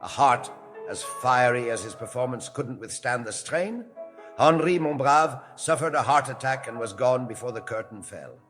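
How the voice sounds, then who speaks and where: A man's voice: mid-range voice, animated, normal speed, fairly bright tone, lightly acted. A man acting an old, nostalgic Frenchman who tells opera tales dramatically, dropping in French phrases, in an audio drama. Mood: grave, haunted. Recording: long hall reverb under music.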